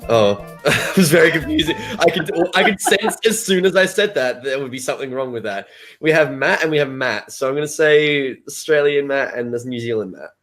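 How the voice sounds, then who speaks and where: A man's voice: mid-range voice, expressive, a little fast, fairly bright tone, faint music behind. Giggly man hosting a podcast. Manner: giggly, jokey. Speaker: podcast host. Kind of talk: casual chat.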